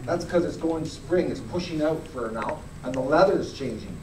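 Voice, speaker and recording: A man's voice: fairly high pitch, animated, normal speed, darkish tone, slight background noise. A middle-aged man giving a plain-spoken live grading demonstration to an audience in a large indoor hall, often asking them to look closer. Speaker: fur grader. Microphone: far camera. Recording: echoey hall, camera from far off.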